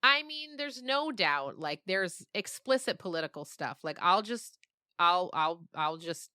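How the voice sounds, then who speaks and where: A woman with a fairly low, clear voice talks as a podcast guest. She sounds bright and crisp in a dry, close recording. Her phrasing is informal and reflective, as if working out her thoughts while she speaks. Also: animated, a little slow, dry recording. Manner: informal, thinking aloud, frequent "like" and "you know". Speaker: podcast creator and writer, interview guest. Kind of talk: casual reflective interview chat.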